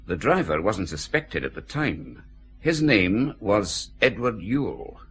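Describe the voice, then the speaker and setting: An actor playing a methodical senior police superintendent, measured and authoritative, in a radio drama. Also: male voice, on the low side, big pitch swings, heavily acted. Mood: authoritative, businesslike.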